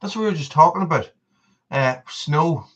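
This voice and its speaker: A man's voice: a little high, normal speed, a bit bright, muffled recording. A man, hosting a casual live stream and chatting to viewers. He rambles, repeats himself and laughs often. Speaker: channel host on a live stream.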